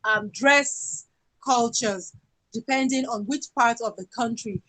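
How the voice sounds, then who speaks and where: A Nigerian woman with a Yoruba accent, presenting her country's culture and songs in an unhurried talk full of fillers. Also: fairly high pitch, poor audio. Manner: sings folk songs, speaks slowly and warmly with fillers.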